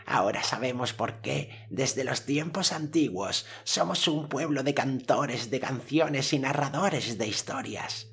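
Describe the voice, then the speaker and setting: A man's voice: medium pitch, unhurried, creaky, hardly any pauses, fairly bright tone, voice pushed up. A man voicing a dignified, solemn old male elder in a stately, declaiming manner for an audiobook. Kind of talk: audiobook character voice.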